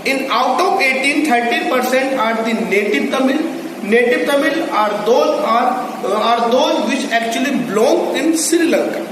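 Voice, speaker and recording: A man lectures at a very slow, deliberate pace in a high voice, repeating his key points and figures. He sounds far from the microphone, in a big echoey classroom with a long reverb tail and steady background noise. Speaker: school teacher. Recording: echoey hall, distant mic, background noise.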